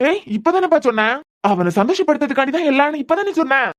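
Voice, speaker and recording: Woman playing a husband, young, bitter, sarcastic, fast-talking, repeats himself in anger. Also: high voice, lively intonation. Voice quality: sarcastic, bitter, repeating words when angry. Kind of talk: amateur audio drama.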